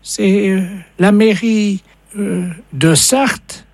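Cheeky, belligerent high-voiced male prank caller. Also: very slow, dry recording. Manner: cheeky, belligerent, vulgar, colloquial. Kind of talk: rude comic phone prank.

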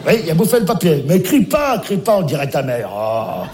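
A man's voice: fairly high pitch, very animated, moderate pace, darkish tone, a little hiss or hum. A man playing a scatterbrained grandfather on a comic phone call, rambling, forgetful and repeating himself. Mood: bumbling. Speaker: comic sketch character. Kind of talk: comic one-sided phone call sketch.

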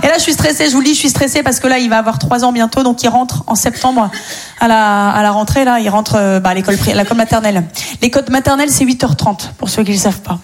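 A woman's voice: on the high side, fast talker. A woman in her thirties doing a stand-up routine on a club stage, wry and self-mocking. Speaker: stand-up comedian.

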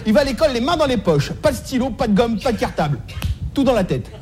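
A man's voice: very high voice, big pitch swings, fast talker, a little mellow, soft background music. A man doing a stand-up comedy monologue in slangy, streetwise, mock-naive style, repeating phrases as he goes, on a live stage recording.

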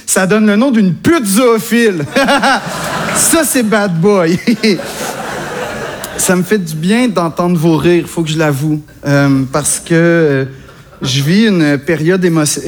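A young Québécois man doing a self-mocking stand-up comedy set on stage, chatty and full of hesitations. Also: high-pitched. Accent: Québécois French. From Quebec, Canada. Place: stage before a live audience. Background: audience laughter.

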